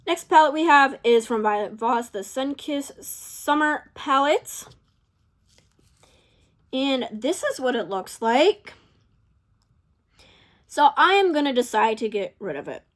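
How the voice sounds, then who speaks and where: A young woman vlogger talking casually to camera, rambling and indecisive, her voice a little hoarse from talking for hours. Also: a little high. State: losing her voice. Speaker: beauty vlogger.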